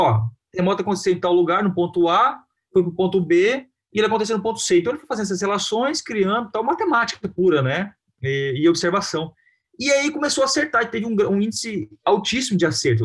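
Casual Brazilian man, slightly high voice. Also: breathy edge. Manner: informal, halting, peppered with tag questions. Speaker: film director being interviewed. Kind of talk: casual interview talk about own work.

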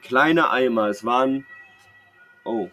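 A man's voice: medium pitch, slow, bright, forceful tone, faint music behind. A young man chats casually as a podcast co-host, telling personal anecdotes in a slangy, rambling, jokey way. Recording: clear background noise.

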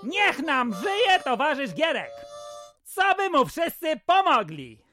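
A man's voice: very high-pitched, huge pitch swings, slow, sharp tone, faint music behind, heavily acted. An actor playing a jovial, folksy old village grandpa who drinks with his pals in an audio drama. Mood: hearty, wary. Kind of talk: Polish audio drama acting.

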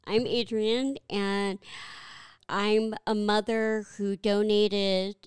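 A middle-aged woman, a guest on a podcast, speaking slowly and haltingly with deep feeling. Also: flat delivery, clear, resonant tone, dry recording, clean studio sound. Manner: slow, halting, heartfelt. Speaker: guest, donor mother. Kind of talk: casual interview talk.

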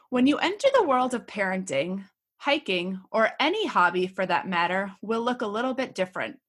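A woman's voice: medium pitch, a bit bright. A woman hosting a podcast, gushing and eager as she introduces and questions her guests. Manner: enthusiastic, gushing. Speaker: podcast host. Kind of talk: podcast interview.

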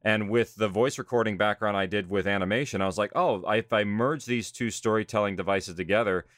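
A man's voice: lowish voice, a little fast, fairly bright tone, no room sound, clean studio sound. A man speaking in a documentary interview as a writer, director and creator of audio drama. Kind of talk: documentary interview about their own work.

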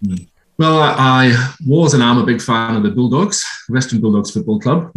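An Irish man, a guest being interviewed, who tells his own story in a reflective way and pauses often with ums. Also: mid-range voice, animated. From Ireland. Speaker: guest, former gambling addict. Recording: roomy echo.

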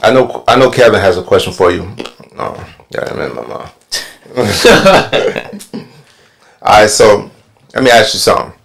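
A man with a very low voice leads a laid-back podcast discussion. He talks loosely, dropping frequent ums and repeating himself as he goes. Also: a little slow, gravelly, pauses often. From Northern Virginia. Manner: relaxed, filler-heavy with many ums. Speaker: podcast host and barber. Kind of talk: casual panel podcast talk.

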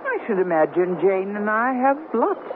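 An actress playing a polite, protective married woman with a quiet tartness and a husky voice, in an American radio comedy. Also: mid-range voice, very animated, moderate pace, background noise, natural voice. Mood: polite, guarded. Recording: muffled band-limited radio recording.

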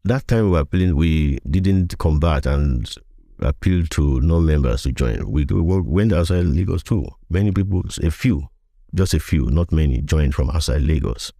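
A man's voice: a bass voice, warm tone, dry recording, studio quality. A middle-aged man with a Nigerian accent, recounting past events in an interview, often repeating and rephrasing as he goes. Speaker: guest, veteran voiceover artist.

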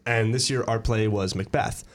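A young man from Pennsylvania, a musical theatre student, chatting as a guest on a radio interview and telling stories in an animated way. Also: lowish voice. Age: young adult. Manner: animated storyteller, quick with restarts. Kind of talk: casual radio interview chat.

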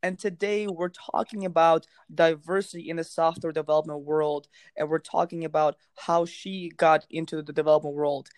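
A man hosting a podcast, enthusiastic and quick to laugh, often repeating his words. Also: fairly high pitch, fairly even intonation, clean tone, no echo. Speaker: podcast host. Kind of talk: casual interview chat.

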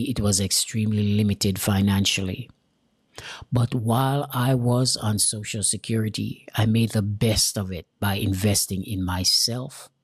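A Jamaican-accented man from Jamaica giving an earnest, deliberate motivational talk straight to his viewers, repeating key lines for emphasis. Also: on the low side, husky, no room sound. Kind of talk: motivational direct-to-camera talk.

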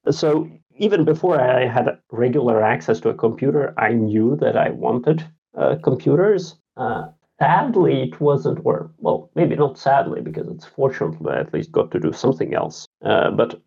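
Gravelly, husky man from Latvia. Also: mid-range voice, animated, dark tone, muffled recording. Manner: halting, many filler sounds, self-deprecating. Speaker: game designer and programmer, guest. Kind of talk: casual podcast interview.

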